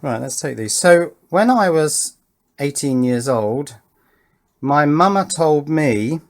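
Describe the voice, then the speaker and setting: A man's voice: fairly high pitch, animated, slow. A man in his fifties with an English accent, giving casual, rambling commentary as he works, hesitating and questioning himself as he thinks aloud. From England. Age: 50s. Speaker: electronics hobbyist and video maker.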